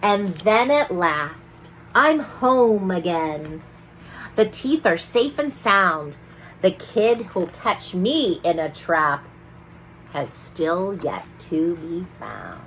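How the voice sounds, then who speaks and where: A woman's voice: lowish voice, big pitch swings, very slow, a bit bright, a little hiss or hum, heavily acted. A woman voicing a mischievous, boastful tooth fairy who tells her adventures in storybook rhyme. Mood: dramatic. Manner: mischievous, theatrical. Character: sneaky, gleeful, boastful female tooth fairy narrating in rhyme. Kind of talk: rhyming storybook read-aloud.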